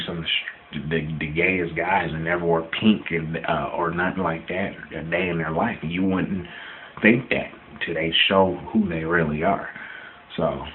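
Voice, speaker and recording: Man, late twenties, very low pitch, blunt, defiant, repetitive, casual vlog rant, slight background noise. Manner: blunt, defiant, profane, repeating himself for emphasis. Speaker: vlogger answering questions about himself. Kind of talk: casual personal rant to camera. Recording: dull lo-fi sound with background noise.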